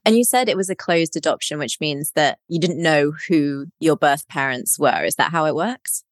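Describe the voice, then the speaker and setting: A woman's voice: low voice, moderate pace, no room sound, clean studio sound. A woman hosting a podcast, reading an introduction and asking upbeat interview questions. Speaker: podcast host.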